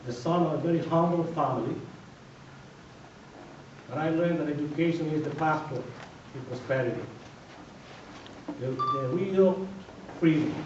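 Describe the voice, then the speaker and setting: A man with a Dominican Spanish accent speaks in a fairly high voice, giving an emphatic campaign speech at a forum and driving his points home by repeating them. He sounds far from the microphone in a very echoey hall, and the radio recording is dull. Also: unhurried, long pauses, background noise. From Dominican Republic. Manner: emphatic, repetitive, builds to firm declarations. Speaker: mayoral candidate.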